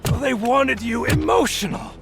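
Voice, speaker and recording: A man's voice: extremely high pitch, steady tone, moderate pace, vocal fry, over music. A male actor playing an arrogant, scheming, volatile villain in a video game cutscene, with a theatrical, taunting delivery. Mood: bitter, persuasive. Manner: theatrical, taunting, swinging from smug to shouting.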